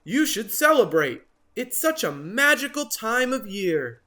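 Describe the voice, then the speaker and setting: Woman as a high-pitched cheery stork. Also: very animated, measured pace, a bit bright. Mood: cheerful, encouraging. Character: cheery, encouraging marabou stork.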